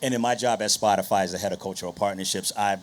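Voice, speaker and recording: A man's voice: lowish voice, fairly even intonation, rapid-fire. A man moderating a panel discussion, putting questions to the guests and speaking as an industry executive.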